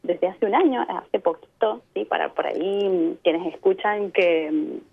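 A woman's voice: on the low side, measured pace, creaky. An Argentine woman from Mendoza, a guest on a radio interview, speaking thoughtfully and explaining her points, often checking in with short tag questions. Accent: Argentine Spanish. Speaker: guest, immigrant sharing experience. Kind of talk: reflective radio interview by phone. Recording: tinny phone line.

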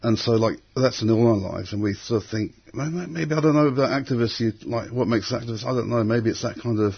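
A man in his sixties, raised in England, thoughtfully recalling his past in an interview, with frequent pauses and restarts. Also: medium pitch, vocal fry, breathy edge. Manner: hesitant, many restarts and fillers. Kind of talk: reflective conversational storytelling. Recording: dull lo-fi recording.

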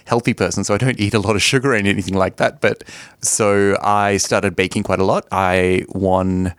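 A man's voice: fairly low pitch, flat delivery, normal speed, smooth, no room sound. A man from Sri Lanka, talking casually and enthusiastically as an interview guest.

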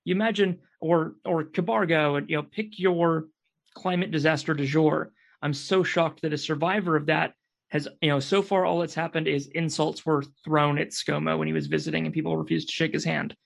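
Aussie guy on a panel, kinda high voice w lots of creak, earnest, feeling out his words. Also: fairly even intonation, fairly quick. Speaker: podcast guest. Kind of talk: thoughtful panel discussion.

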